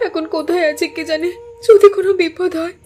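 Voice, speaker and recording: A woman's voice: very high voice, fairly even intonation, fairly quick, a little mellow. A woman playing an earnest, anxious young village sister in a cartoon drama, speaking in an emotional, pleading way. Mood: anxious, tearful.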